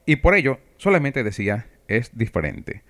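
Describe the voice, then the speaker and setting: A man from Venezuela with a Venezuelan accent, preaching for radio in a measured, didactic way. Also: mid-range voice, very animated, fairly bright tone. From Trujillo, Venezuela. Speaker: preacher and radio program host. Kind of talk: sermon talk for radio.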